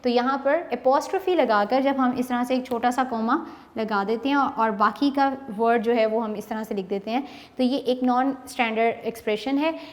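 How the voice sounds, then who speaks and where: A woman's voice: a little high, in a large hall. A woman English teacher with a Pakistani Urdu accent, giving an online lesson and explaining a poem line by line in Urdu mixed with English. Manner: explains line by line, mixing Urdu and English, slow deliberate reading. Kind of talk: online classroom lecture.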